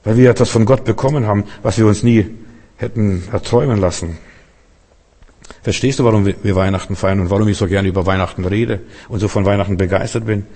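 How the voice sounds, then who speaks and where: A man's voice: fairly low pitch, fairly even intonation, quick pace, dark tone. A man preaching a Christmas sermon in a church hall, fervent and direct, often repeating himself and questioning his listeners. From Berlin. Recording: long hall reverb.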